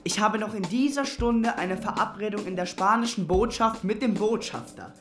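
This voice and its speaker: An actor playing a naive young man who talks like a stiff, formal gentleman in a German radio play. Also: high-pitched, normal speed, over music, lightly acted. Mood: stiffly formal.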